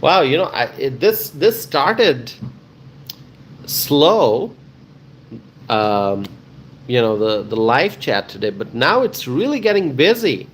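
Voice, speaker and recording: A man hosting a live stream, chatting casually with viewers in a halting way, often repeating himself and pausing. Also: mid-range voice, very animated. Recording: clear ambient background noise.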